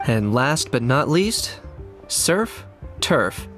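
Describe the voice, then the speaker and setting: A reserved young male fighter from a video game. He is composed and understated at a middling pitch, with some music behind him. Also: sing-song, very slow, fairly bright tone, exaggerated voice.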